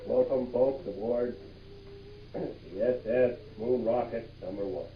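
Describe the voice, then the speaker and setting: A man playing a brisk astronaut commander in an improvised pretend radio play, announcing in a mock-official, dramatic way. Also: mid-range voice, faint music behind, natural voice. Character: brisk male astronaut commander running a rocket launch. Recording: muffled, noisy 1960s home tape.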